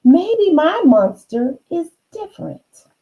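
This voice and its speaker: A woman's voice: high voice, very animated, slow, dull audio, voice pushed up, full character voice. A woman voicing a stubborn, determined little girl in a storybook, insistent and emphatic.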